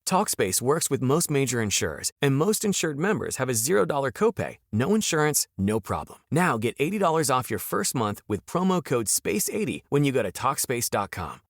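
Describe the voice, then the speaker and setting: Lively, dry-sounding male ad announcer. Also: mid-range voice, no echo. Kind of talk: scripted ad read.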